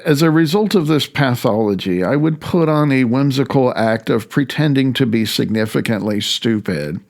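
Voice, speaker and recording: A man with a creaky, fry-laden voice at an ordinary male pitch narrates his own audiobook in the first person. His reading is halting, with stumbled words. The manner is wry and self-deprecating.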